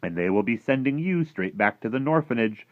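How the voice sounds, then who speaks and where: A man voicing a huge, gentle, gloomy giant who talks in muddled grammar and invented words for a children's storybook. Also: mid-range voice, lively intonation, fairly quick, no room sound, narrow, tinny audio, pitched above the performer's own voice, close to an everyday voice. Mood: firm, wary. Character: huge, gentle, gloomy friendly giant with garbled rustic speech. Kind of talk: animated children's storybook character reading.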